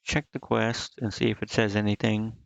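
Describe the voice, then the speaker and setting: A man's voice: lowish voice, little pitch movement, normal speed, creaky, highs cut off. A man doing casual live game commentary as a streamer, hesitantly thinking aloud to himself and reading on-screen text out. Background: game music.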